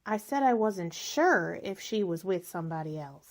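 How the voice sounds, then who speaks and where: A woman's voice: on the low side, lively intonation, normal speed. A woman acting in a radio drama as a lonely, faded spinster who likes her wine, wistful, bitter and self-pitying.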